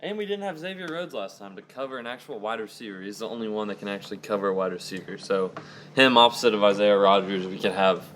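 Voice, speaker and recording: A man's voice: fairly low pitch, fast, fairly bright tone, slight background noise. A young man chatting casually about sports as a fan and podcast guest.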